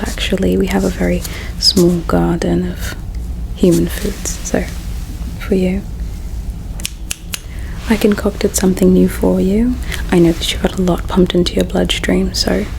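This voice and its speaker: A woman voicing a gentle, resigned alien royal in a breathy, hushed whisper, tender and halting, as in an ASMR roleplay. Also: lowish voice, noisy background. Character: gentle, resigned female alien royal, self-sacrificing and caring.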